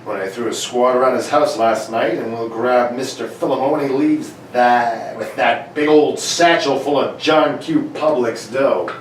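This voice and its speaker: A man's voice: mid-range voice, unhurried, hardly any pauses, natural voice. A man playing a cheerful, gloating police inspector and father in an old-time radio play reading. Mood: smug, explaining. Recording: very echoey room, distant mic, noise.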